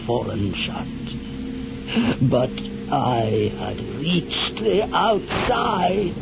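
Slow, tormented rapturous man. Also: a little high, big pitch swings, vocal fry, full character voice. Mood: awed wonder. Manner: theatrical, halting, repeating words in wonder. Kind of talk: 1960s radio horror monologue acting. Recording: muffled old radio recording, heavy noise.

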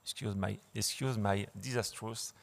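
A man lecturing in English, which is not his first language, in a large dark hall. He speaks through a podium mic, with many fillers and restarts. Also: lowish voice, fairly even intonation, moderate pace, creaky. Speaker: conference speaker. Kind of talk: slide lecture.

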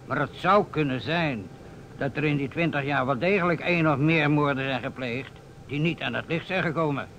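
An actor playing a persistent young police inspector, terse and direct, in a Dutch radio drama. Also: male voice, on the high side, normal speed, fairly bright tone. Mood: cool defiance. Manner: terse, direct, insistent questioning. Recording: muffled vintage radio recording with noise.

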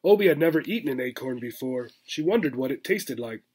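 A man, reading a children's book aloud in expressive narration. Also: medium pitch, a little fast, breathy edge. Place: small furnished room indoors.

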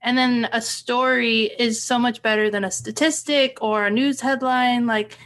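A woman's voice: a little high, steady tone, normal speed, fairly bright tone. An American woman in her thirties hosting a podcast, chatting casually over a video call from a room at home. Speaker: podcast host. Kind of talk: casual conversational interview.

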